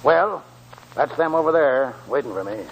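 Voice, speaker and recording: An American man acting a folksy, wily old gold prospector in a 1940s radio drama, with a creaky voice. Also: medium pitch, animated, normal speed, slight background noise, poor audio. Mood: resigned, uneasy. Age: older. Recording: old 1940s radio broadcast, music behind.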